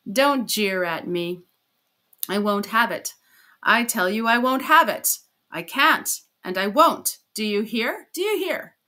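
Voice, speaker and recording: A woman's voice: medium pitch, unhurried, a bit bright, lightly acted. A tormented, furious man under suspicion, in a storybook reading by a woman. The delivery is imperious and emphatic, accusing and defiant. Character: furious, defiant, haughty, tormented male suspect. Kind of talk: dramatized audiobook character reading.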